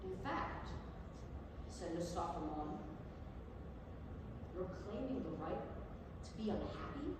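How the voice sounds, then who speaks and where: A woman's voice: lowish voice, very animated, slow pace, long pauses, heavy background noise. A woman giving a dramatic staged reading of a novel, recorded from far off in an echoey theatre. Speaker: stage reader.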